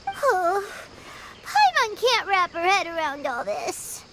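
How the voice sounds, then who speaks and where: Very high, squeaky little fairy companion. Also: female voice, takes it slowly, heavy background noise, full character voice. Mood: puzzled. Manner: childlike, expressive, refers to self in third person. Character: tiny childlike female fairy companion, excitable and easily scared. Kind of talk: video game voice acting. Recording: music behind the voice.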